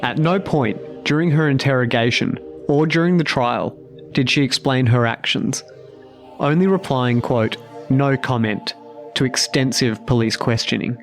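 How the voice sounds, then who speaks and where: A man narrating a scripted true-crime story with measured, deliberate phrasing. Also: medium pitch, soft background music.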